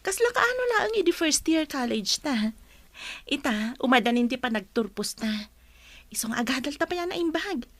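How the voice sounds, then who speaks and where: A woman's voice: high voice, big pitch swings, normal speed, a bit bright, no room sound, exaggerated voice. A woman playing a chatty, teasing young student teacher with a Filipino accent in an audio drama. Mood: excited. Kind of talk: Ilocano audio drama acting.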